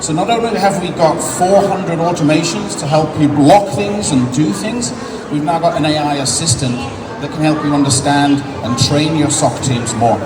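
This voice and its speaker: An English man giving a casual, explanatory talk at a tech conference, with many restarts as he demos software. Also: fairly high pitch, normal speed, noisy background. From England. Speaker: conference presenter. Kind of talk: live tech demo talk. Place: large conference hall. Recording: echoey hall recorded from far off with loud ambience.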